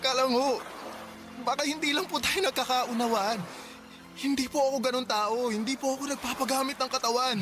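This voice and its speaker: An actor playing a polite, naive young husband who stammers when nervous. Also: male voice, very high voice, fairly even intonation, fairly quick. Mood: flustered, pleading. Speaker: voice actor in radio drama. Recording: music bed under the voice.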